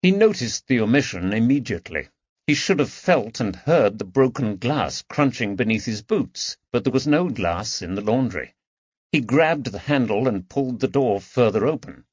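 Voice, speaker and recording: A man's voice: mid-range voice, animated, moderate pace, breathy edge, dry recording, muffled recording. A man reading scripted mystery fiction as an audiobook narrator.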